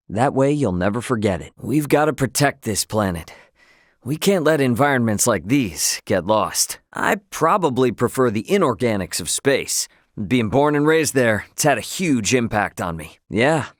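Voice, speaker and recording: Game role: brash young male space captain, played by a man, slangy and good-hearted, lively swooping pitch, dry close sound. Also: moderate pace, no echo, close to an everyday voice. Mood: reflective. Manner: casual, slangy, plain-spoken. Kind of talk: video game voice acting.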